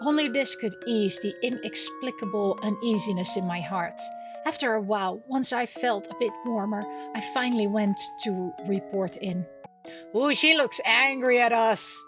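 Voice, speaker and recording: A woman with a fairly high voice plays through a visual novel. She chats casually, reacts aloud and reads the narration, with game music playing clearly underneath. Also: normal speed. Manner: reacts aloud with small interjections. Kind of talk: casual let's-play chat and reading game text aloud.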